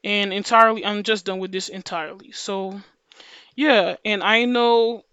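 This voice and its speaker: A woman whose voice sits deeper than most women's, yet comes across smooth and bright. She talks to her viewers in a casual, slangy ramble, restarting and repeating phrases as she goes. The recording is close and dry. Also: fairly even intonation, moderate pace, dry recording, highs cut off. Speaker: video creator.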